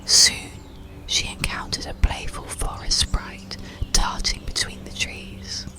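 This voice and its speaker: A storyteller narrating an ASMR bedtime story in a soothing, deliberate whisper. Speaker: audiobook storyteller.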